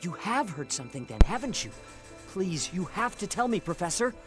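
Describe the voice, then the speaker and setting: A man's voice: high voice, big pitch swings, normal speed. An actor playing an earnest, idealistic young male scholar in a game cutscene, speaking formally and insistently. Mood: urgent pleading. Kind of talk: dubbed video game cutscene acting. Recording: music behind the voice.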